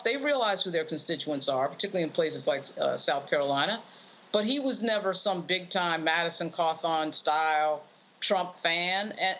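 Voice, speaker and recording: A woman's voice: on the low side, a bit bright, highs cut off, slight background noise. A woman giving off-the-cuff political analysis as a panel commentator, emphatic and repeating phrases for stress.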